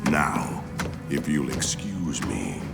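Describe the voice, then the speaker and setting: Stuffy secretive alien official, deep gravelly voice, very formal, game music behind. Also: male voice, very animated, big-room sound, heavily acted. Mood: evasive, formal. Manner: formal, measured, self-important. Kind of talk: video game cutscene acting.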